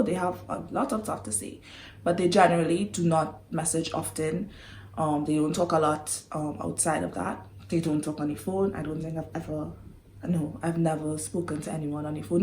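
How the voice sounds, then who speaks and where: A woman's voice: low-pitched, slight background noise. A young woman with a Trinidadian accent, chatting casually to camera, giggly, sighing and apologizing as she goes. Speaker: vlogger. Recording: roomy echo.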